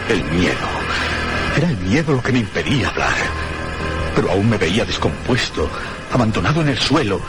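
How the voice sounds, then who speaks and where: An actor playing a haunted man recounting his ordeal in a radio horror drama, with theatrical, deliberate phrasing. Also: lowish voice, sing-song, loud music behind the voice. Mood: haunted dread.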